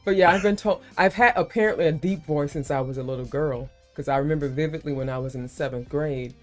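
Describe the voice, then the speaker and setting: Low-pitched woman host, breezy and chatty, faint music underneath. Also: fast talker. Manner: chatty, playful, calls others baby. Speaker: livestream host. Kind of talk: casual livestream chat.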